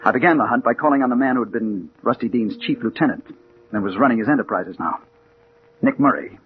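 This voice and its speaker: An actor playing a hard-boiled American detective, narrating and acting with dramatic intensity in a 1940s radio crime drama. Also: male voice, mid-range voice, quick pace, close to an everyday voice. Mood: grim, determined. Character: hard-boiled male private detective bent on revenge. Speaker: narrator and lead character. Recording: muffled old radio recording with hiss.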